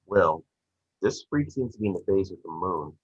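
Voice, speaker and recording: A man's voice: low voice, animated, normal speed, husky. A man playing a calculating, plain-spoken crime investigator boss in a script table read, insistent in his delivery. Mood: urgent, persuasive. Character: middle-aged male crime investigator boss, persuasive and calculating. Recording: muffled, roomy video-call audio.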